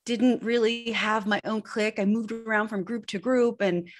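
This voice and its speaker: A woman's voice: on the high side, steady tone, normal speed. American woman candidly telling her story. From Northern California, United States. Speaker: guest, personal branding image expert. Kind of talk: conversational interview, personal storytelling. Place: indoor room at home. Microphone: video call microphone.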